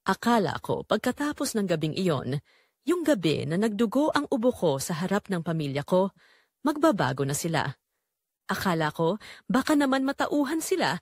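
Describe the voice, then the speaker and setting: A woman's voice: medium pitch, dry recording. Lady playing worn out neglected mom telling her sad story, pleading, very up and down voice, dry sound. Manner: emotional, sorrowful, pleading. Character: Marites, weary, neglected, long-suffering Filipino mother. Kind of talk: first-person dramatic audio story narration.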